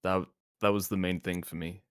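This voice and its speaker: A man's voice: sits low, level tone, normal speed, no echo, studio quality. A man co-hosting a casual podcast, bantering and swearing freely. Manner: joking, profane banter. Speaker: podcast co-host. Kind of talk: casual chat.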